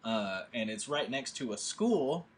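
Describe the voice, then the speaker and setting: A man's voice: medium pitch, expressive, unhurried. A man leading a table read, chatting casually from home over a video call, rambling with lots of fillers and restarts. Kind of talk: casual chat.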